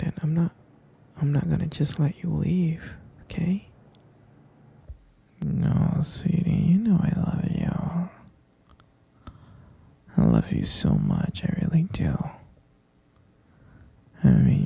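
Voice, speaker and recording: A man voicing an obsessive, sweetly possessive kidnapper in an ASMR roleplay, talking in a soft, clingy, soothing whisper that keeps insisting. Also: fairly high pitch, sing-song, slow pace, gravelly, long pauses, slightly rough, dry recording, exaggerated voice.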